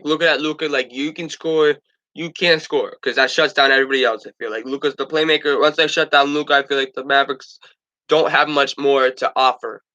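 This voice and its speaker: A man's voice: a little high, pushed and bright, dull audio. A young man hosting a casual sports talk stream, stuffed up from allergies, rambling with stumbles and restarts.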